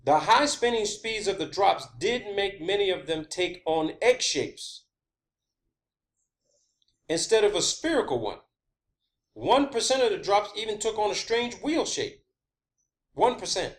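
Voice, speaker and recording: A man's voice: sits high, sing-song, measured pace, pauses often. A man delivering an informal, rambling science-theory monologue, emphatic and sweary, often repeating his phrases. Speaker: online video host.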